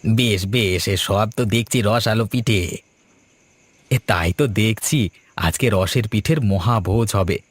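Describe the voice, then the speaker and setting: Man voicing a deep, hearty village patriarch. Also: unhurried, no echo. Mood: delighted. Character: genial village patriarch and grandfather, proud of family pitha. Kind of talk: Bengali cartoon family story dubbing. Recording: music behind the voice.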